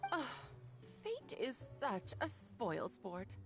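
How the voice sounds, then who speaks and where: Playful, theatrical, high-voiced young woman. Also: wildly swooping pitch, slow, exaggerated voice. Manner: breezy, theatrical, teasing. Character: playful, mischievous, theatrical young woman who loves parties. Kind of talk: video game voice acting. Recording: music behind the voice.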